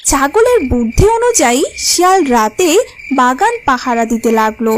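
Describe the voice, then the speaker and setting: Casting: female storyteller for children's cartoons, bright-pitched and very varied in melody. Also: very animated, moderate pace, slight background noise. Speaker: narrator.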